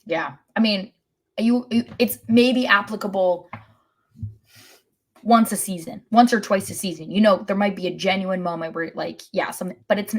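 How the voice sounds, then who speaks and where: A woman's voice: medium pitch, moderate pace. A woman co-hosting a soccer podcast, giving casual but emphatic and opinionated match analysis.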